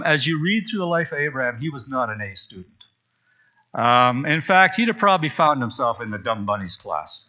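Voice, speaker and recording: Man, preacher, sermon, chatty storyteller, bright voice, slightly high, dull lo-fi sound. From Zambia. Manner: chatty, storytelling, with frequent asides. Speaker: pastor. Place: church sanctuary.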